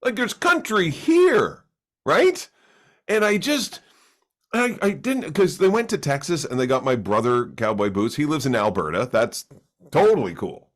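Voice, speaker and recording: A man's voice: fairly high pitch, sing-song, a little slow. A man who is a stand-up comic, chatting casually as a podcast guest and telling rambling, joking stories with lots of restarts. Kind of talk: casual chat. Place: recorded for a podcast.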